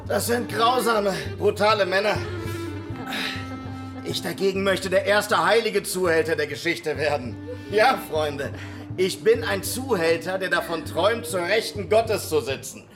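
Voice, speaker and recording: An actor playing a flamboyant, roguish pimp and street performer in a German radio drama, grand, theatrical and amused. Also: male voice, sits high, a little slow. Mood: boastful, amused. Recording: music under the voice. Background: music.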